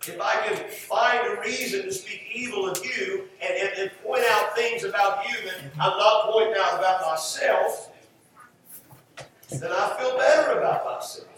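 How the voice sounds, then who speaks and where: A man's voice: high-pitched, measured pace, a bit bright. An American man preaching a fervent sermon, stressing and repeating phrases emphatically as he works through scripture. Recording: roomy echo, distant mic, faint noise.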